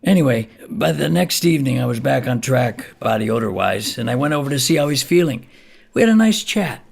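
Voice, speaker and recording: Chatty old neighbor dude, super gravelly n husky, folksy rambling storyteller in a radio play. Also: male voice, mid-range voice, lively intonation, brisk. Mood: animated, bemused. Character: chatty folksy older neighbor man, easygoing and nosy. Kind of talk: theatrical monologue in an audio play.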